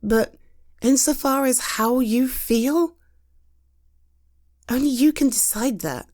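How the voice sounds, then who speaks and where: A British woman in a roleplay, talking frankly and reassuringly to a friend, with halting restarts and a close studio mic. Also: high-pitched, darkish tone. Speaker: audio roleplay performer.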